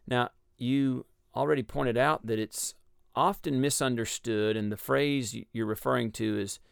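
A man with a Texas accent explaining a Bible passage in a measured, teaching way on a radio discussion. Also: mid-range voice, fairly even intonation, creaky, smooth, no echo, clean studio sound. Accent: Texan. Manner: measured, explanatory, with frequent ums and uhs.

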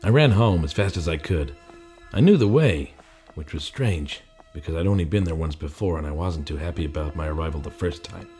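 A man's voice: rumbling low, expressive, fairly quick, dark tone, faint music behind. A man playing a confused, suspicious amnesiac in a film noir audio drama, terse and agitated, both narrating and speaking in scenes. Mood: tense, bewildered. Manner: terse, agitated, muttering to himself.

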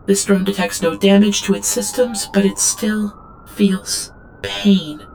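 A woman's voice: medium pitch, flat delivery, slow pace, vocal fry, a little mellow, soft background music, low-quality recording. A woman playing an obedient female servant drone in an audio drama, reporting in a stilted, clinical third-person manner. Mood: clinical, subdued. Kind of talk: scripted audio drama monologue.

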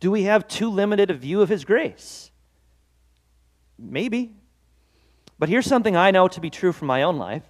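A man's voice: high voice, animated, moderate pace, pauses often. A man preaching a devotional sermon as pastor, earnest and conversational, with self-deprecating asides. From Norwegian-American heritage.

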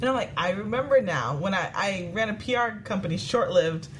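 Female interview guest, medium register, relaxed wording, informal explanatory conversation. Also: medium pitch, a little fast, a little hiss or hum, low-quality recording. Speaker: guest, popsicle business owner.

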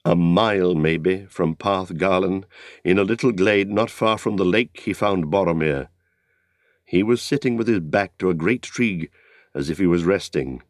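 A man narrating an epic story as an audiobook, in a dramatic, solemn and measured literary style. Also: low voice, no echo, clean studio sound. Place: dry studio.

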